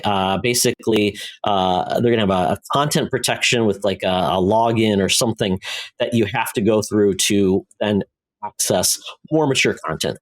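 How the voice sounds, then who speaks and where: A man hosting a podcast, explaining news in a rambling, conversational way. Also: on the low side. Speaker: podcast host presenting entertainment news. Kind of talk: casual news chat.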